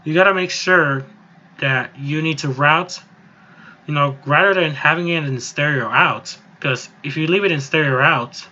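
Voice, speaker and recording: A man with a slightly high voice talks through a software tutorial in a loose, informal way. He goes step by step and rambles a little. The recording is a somewhat dull, lo-fi voice-over. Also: measured pace, a little hiss or hum. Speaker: music software tutorial presenter. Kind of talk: casual, improvised screen-recorded tutorial. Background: voice-over on a screen recording.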